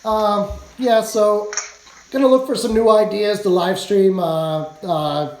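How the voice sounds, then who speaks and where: Hoarse chatty man, echoey room. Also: sits high, steady tone, takes it slowly, a crowd in the background. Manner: rambling, chatty, laughs often. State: hoarse, losing his voice. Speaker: live streamer. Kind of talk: casual live stream chat.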